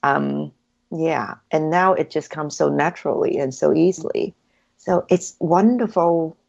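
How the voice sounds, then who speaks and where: A woman's voice: fairly low pitch, vocal fry, darkish tone, husky, lo-fi sound. A woman whose first language is Cantonese, chatting as an interview guest in an effusive way with frequent ums. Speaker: guest, hypnotherapy practitioner. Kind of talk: casual interview chat.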